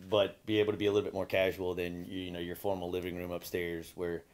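Laid-back contractor with a low voice. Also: male voice, quick pace, slight background noise. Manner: relaxed, hesitant, repeats words, chuckles. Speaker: building contractor. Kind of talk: explaining a renovation. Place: gutted basement under renovation, indoors. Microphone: far camera.

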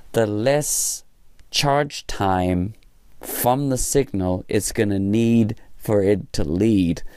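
A man giving an informal hands-on tutorial as an instructor, thinking aloud in halting short phrases. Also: on the low side, mellow, clear, resonant tone, no room sound. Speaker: electronics instructor. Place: small indoor room at a workbench.

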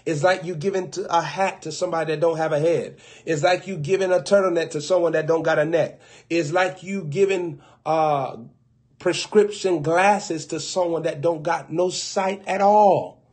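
A man's voice: a little high, steady tone. Young man preaching live on webcam. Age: young adult. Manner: playful, acts out skeptics and quips in quick asides. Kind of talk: livestream preaching. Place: indoors before a gold bokeh backdrop with an open Bible. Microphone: webcam close up.

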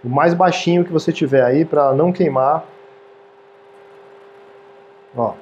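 A man's voice: on the high side, fairly quick, darkish tone, highs cut off, slight background noise. A man in his thirties hosting a casual cooking demonstration in a small kitchen, chatty and friendly, often checking in with viewers. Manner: friendly, chatty, keeps asking "tá, gente?". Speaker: cooking show host. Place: small home-style studio kitchen, indoors.

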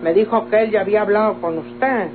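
A woman's voice: mid-range voice, a little fast, lightly acted. Woman acting a rueful Mexican country daughter, tinny recording. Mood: rueful, resigned. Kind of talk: golden-age Mexican radionovela acting. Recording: tinny old radio recording, humming noise.

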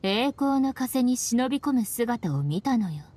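A woman's voice: fairly high pitch, big pitch swings, measured pace, warm tone, slight background noise. A woman playing an aloof young female watchkeeper in an anime game, cool, curt and unimpressed. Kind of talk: Japanese anime game acting.

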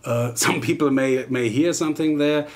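A middle-aged man with a German accent, talking through a music tutorial in a relaxed, hesitant way, with frequent fillers. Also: on the high side, moderate pace. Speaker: guitar tutor. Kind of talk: casual tutorial talk. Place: home music studio.

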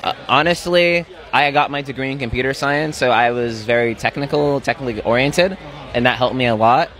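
A man's voice: mid-range voice, a little fast, a bit bright. A man in his twenties giving casual answers in an outdoor interview on a handheld mic. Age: 20s. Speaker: interviewee, tech start-up co-founder. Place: outdoors at a conference.

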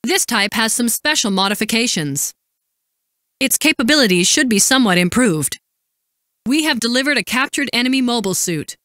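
An actress playing a composed, dutiful woman military supply officer in a video game, giving crisp, formal, commanding briefings and callouts. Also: fairly high pitch, lively intonation, moderate pace, a bit bright, dry recording, studio quality.

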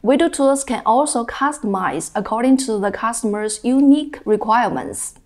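Woman exec in an office, answering carefully, little pauses and uhs, slightly high voice. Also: a little mellow. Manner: careful, with hesitations. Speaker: company executive interviewee. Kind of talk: interview answers. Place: office indoors.

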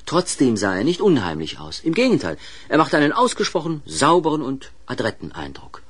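A man narrating a children's audio play as a dramatic, deliberate storyteller with suspenseful pauses. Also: medium pitch, wildly swooping pitch, breathy edge.

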